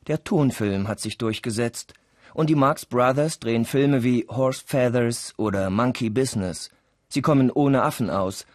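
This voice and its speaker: A man's voice: medium pitch, a little slow, dry recording, clean studio sound. A man reading scripted documentary-style narration as a narrator.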